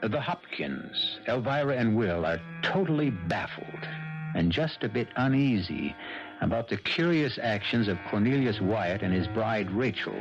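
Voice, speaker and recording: A man hosting and narrating a radio mystery drama in a measured, theatrical manner. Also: lowish voice, lively intonation. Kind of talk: scripted host narration. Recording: dull 1970s radio broadcast with music.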